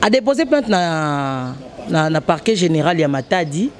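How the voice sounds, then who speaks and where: A woman's voice: sits low, animated, normal speed. Emphatic Congolese journalist woman. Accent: Congolese French. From DR Congo, Kongo Central. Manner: emphatic, hesitant, switches between French and Lingala. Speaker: journalist guest. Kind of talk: interview.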